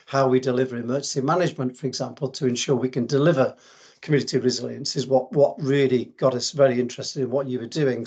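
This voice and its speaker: A man hosting a webinar, politely and a little haltingly introducing the speaker. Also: mid-range voice, a little mellow. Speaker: webinar host.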